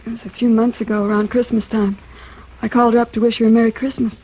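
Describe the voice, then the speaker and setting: An actress playing a troubled, resentful young American niece with a husky, coughy voice in a 1950s radio drama. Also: female voice, fairly high pitch, flat delivery, quick pace, mellow. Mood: worried. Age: young adult. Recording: dull 1950s radio recording.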